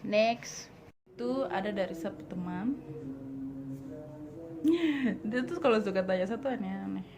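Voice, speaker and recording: A woman's voice: medium pitch, measured pace, long pauses, noisy background. A woman in her twenties with a Papuan Indonesian accent, answering viewer questions in a blunt, teasing vlog and dropping in English words. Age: 20s. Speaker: vlogger. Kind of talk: casual Q&A vlog.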